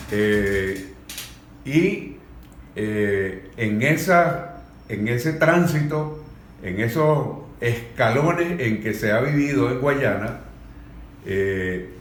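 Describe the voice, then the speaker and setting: A man with a Venezuelan accent giving earnest political commentary in a deliberate, halting, formal way. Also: medium pitch, slight background noise. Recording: echoey room.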